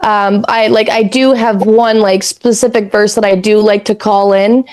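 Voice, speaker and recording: A raspy-voiced woman in her thirties from Canada, co-hosting a podcast in rambling, casual chat that drifts into spiritual talk and easy swearing. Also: mid-range voice, fairly even intonation, moderate pace, darkish tone. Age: 30s. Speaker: podcast co-host.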